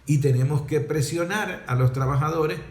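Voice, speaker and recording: A man's voice: on the high side, normal speed. A man with a Venezuelan accent giving explanatory political commentary in an emphatic, didactic way, with rhetorical questions and repetition. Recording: roomy, echoing sound.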